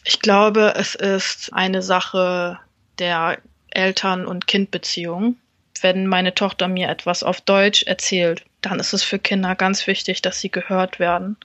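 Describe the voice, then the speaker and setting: A woman's voice: fairly low pitch, little pitch movement, a little slow, fairly bright tone, no room sound, lo-fi sound. Woman talking close and dry. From Germany. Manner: thoughtful, explains at length, laughs easily. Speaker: stand-up comedian, podcast guest. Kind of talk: casual podcast conversation.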